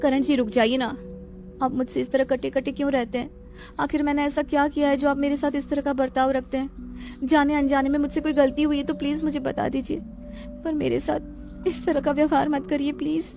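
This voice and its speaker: A woman's voice: sits high, level tone, fairly quick, creaky, darkish tone, faint music behind, voice pushed up. A woman voicing a meek young wife who pleads politely and tearfully in a storybook drama. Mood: pleading.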